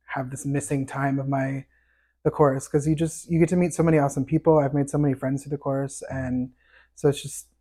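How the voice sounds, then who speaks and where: A man with a fairly high, light voice, chatting as a guest on a podcast and telling stories with many fillers. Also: flat delivery, fairly quick, dark tone. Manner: chatty, storytelling with fillers and laughs. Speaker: podcast guest, chorus tenor. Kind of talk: casual interview chat.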